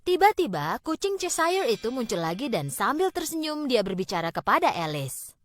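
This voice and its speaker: A woman narrating a scripted fairy tale for children, expressively and with deliberate phrasing. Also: fairly high pitch, sing-song. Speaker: storyteller. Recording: background music.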